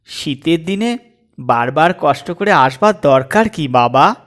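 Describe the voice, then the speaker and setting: A man's voice: a little high, expressive, measured pace, a bit bright. A man voicing a meek, evasive young student son in a comic audio story, hesitant and placating. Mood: hiding annoyance.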